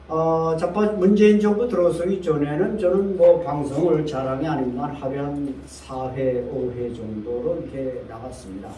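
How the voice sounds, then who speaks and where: Older man from North Korea giving an emphatic audience talk, high-ish voice, far from the mic. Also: mellow. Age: 60s. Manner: earnest, emphatic, with frequent fillers. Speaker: guest speaker. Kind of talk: lecture to a seated audience. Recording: echoey room, speaker off-mic with faint noise.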